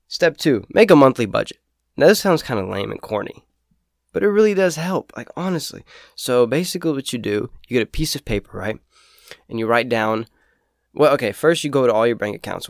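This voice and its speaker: A young man in his teens with a raspy voice from a cold, talking casually and rambling cheerfully as a solo podcast host. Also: medium pitch, dry recording, studio quality. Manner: rambling, lots of fillers, upbeat. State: sick with a cold. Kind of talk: casual solo talk about saving money.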